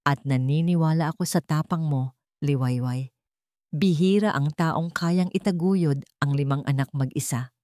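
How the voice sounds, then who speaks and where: A woman's voice: sits low, moderate pace, dark tone, no echo, studio quality, natural voice. Woman playing earnest, protective Filipino man. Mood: tender, serious. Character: earnest, protective man, gentle but firm suitor. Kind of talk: storybook character voice.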